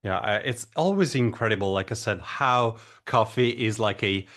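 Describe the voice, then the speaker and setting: A man's voice: fairly low pitch, normal speed, fairly bright tone. A man hosting a podcast, asking questions in a hesitant way with repeated words. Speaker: podcast host. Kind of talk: podcast interview.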